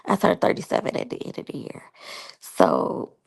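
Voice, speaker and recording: A woman in her thirties reviewing a reality show and sharing personal stories in a candid, chatty way, talking straight to her viewers. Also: moderate pace. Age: 30s. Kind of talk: casual review and personal storytelling.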